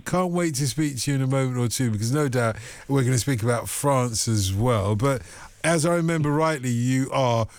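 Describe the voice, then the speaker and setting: A man hosting a late-night radio phone-in, playful and animated, in a studio. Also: medium pitch, moderate pace, no echo. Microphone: studio microphone.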